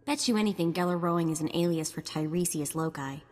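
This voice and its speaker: An actress playing a curious, wry sidekick in a video game, conversational and informative. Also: female voice, lowish voice, brisk, darkish tone, in a large hall, soft background music, lightly acted. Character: curious, wry female sidekick of a bounty hunter.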